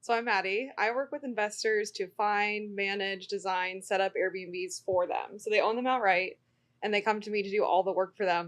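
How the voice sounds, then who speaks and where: A woman talking as a podcast guest about her work with investors, friendly and quick to laugh along. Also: medium pitch. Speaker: real estate investor guest. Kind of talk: casual podcast chat.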